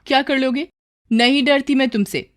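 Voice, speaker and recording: A woman's voice: high-pitched, fairly even intonation, moderate pace, voice pushed up, lightly acted. A woman voicing a long-suffering yet outspoken bangle-selling mother in a cartoon story, plaintive and emotional. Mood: defiant.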